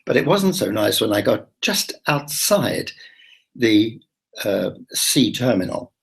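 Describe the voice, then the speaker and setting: A man's voice: fairly low pitch, big pitch swings, takes it slowly, vocal fry, breathy edge, poor audio. A man telling a rambling, confiding travel-diary story, full of restarts and asides. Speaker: travel vlogger.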